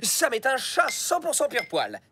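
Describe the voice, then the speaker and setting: A woman's voice: high-pitched, huge pitch swings, measured pace, a little hiss or hum, heavily acted. A woman voicing an anxious, bossy male cartoon fox in a French accent, insistent and dramatic. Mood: nervous bluffing. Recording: loud cartoon music behind.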